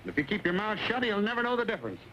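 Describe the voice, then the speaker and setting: An actor playing a crooked, bossy cavalry captain in an old Western, curt and commanding. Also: male voice, sits high, huge pitch swings, rapid-fire, pushed and bright, exaggerated voice. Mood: scheming. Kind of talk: old Western film villain acting. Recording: muffled old soundtrack with clear hiss.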